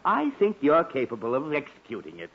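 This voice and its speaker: A man's voice: mid-range voice, huge pitch swings, fairly quick, husky, heavily acted. An actor playing a fussy, sarcastic middle-aged father and husband, grumbling in 1940s radio comedy style. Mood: sarcastic, exasperated. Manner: grumbling, sarcastic, sighing exasperation. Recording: dull, noisy 1940s radio recording.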